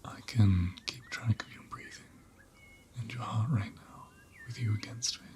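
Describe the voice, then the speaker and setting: A man playing a gentle, caring ram in an ASMR roleplay, speaking in a soft, breathy half-whisper with a soothing, tender manner. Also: lowish voice, fairly even intonation, moderate pace, close to an everyday voice. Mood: soothing, reassuring. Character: gentle, caring male ram tending an injured lamb.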